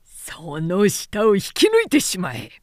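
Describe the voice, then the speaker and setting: A man's voice: high voice, wildly swooping pitch, a little slow, a little mellow, no echo, clean studio sound, heavily acted. A woman narrator voicing a menacing male warlord who questions and commands in mocking, lordly archaic speech in a storybook reading. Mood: angry command. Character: menacing male warlord, sardonic, imperious interrogator.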